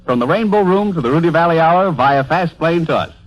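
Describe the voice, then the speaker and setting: Male vintage radio announcer, very animated pitch, rough-edged tone, formal showman delivery. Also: fairly quick, poor audio. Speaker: radio show host and announcer. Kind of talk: 1930s radio introduction. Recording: vintage 1930s radio broadcast recording.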